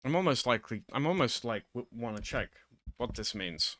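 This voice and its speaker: A man's voice: medium pitch, expressive, normal speed, fairly bright tone, a little hiss or hum. A man giving an informal, improvised lesson in a hesitant, rambling, self-deprecating way, close to the microphone. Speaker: online video host.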